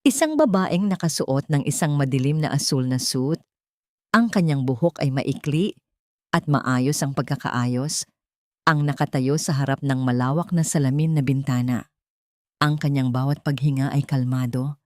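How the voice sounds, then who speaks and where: A woman narrating a scripted dramatic love story in a solemn, deliberate manner. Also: rumbling low, darkish tone, dry recording, studio quality.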